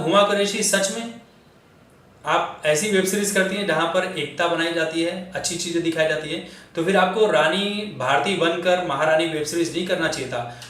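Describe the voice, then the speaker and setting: A man's voice: a little high, a bit bright. Echoey, distant man giving opinionated commentary. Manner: rhetorical questioning, addresses listeners directly. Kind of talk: opinionated film and political commentary to camera. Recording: very echoey room, distant microphone.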